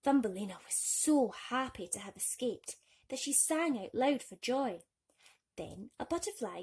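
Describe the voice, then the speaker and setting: Female children's storyteller, medium pitch, soft husky breathiness, expressive bedtime-reading delivery.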